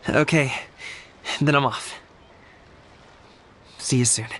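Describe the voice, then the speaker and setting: A man's voice: mid-range voice, fairly even intonation, slow pace, fairly bright tone, a little hiss or hum. An actor playing an earnest, bashful young man in a video game, a grateful son with a hesitant, boyish manner. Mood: touched, fond.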